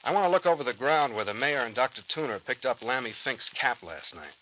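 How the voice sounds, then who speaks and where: A bright, forceful private detective in an old American radio serial. He is shrewd and breezy, swinging widely in pitch as he takes command. The recording is old and a bit noisy. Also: animated, a little fast. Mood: businesslike. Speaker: radio drama actor.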